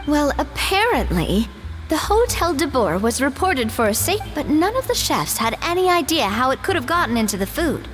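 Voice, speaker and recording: Brief: a principled young game heroine, high lively voice, firm and polite. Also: female voice, moderate pace, soft background music. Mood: earnest. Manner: earnest, firm but polite. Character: earnest young woman mediator standing up for a sick worker.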